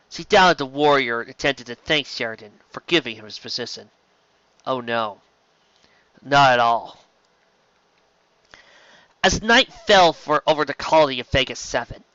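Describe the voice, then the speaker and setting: A man's voice: medium pitch, lively intonation, moderate pace, pauses often, sharp tone, no room sound, lo-fi sound. A man reading science fiction aloud as an amateur audiobook narrator, in a dramatic storytelling style.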